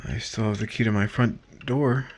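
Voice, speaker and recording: A man's voice: fairly low pitch, steady tone, moderate pace, close to an everyday voice. A man playing a tender, melancholy lover in a 1950s-style romantic radio drama, with faint noise on the recording. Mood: tender, sad. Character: tender, melancholy man parting from a woman he loves.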